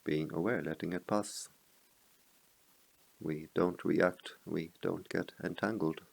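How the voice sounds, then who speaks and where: Very deep, gravelly man guiding meditation. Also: fairly even intonation. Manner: slow, measured phrases with long pauses. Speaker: meditation teacher. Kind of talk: guided meditation.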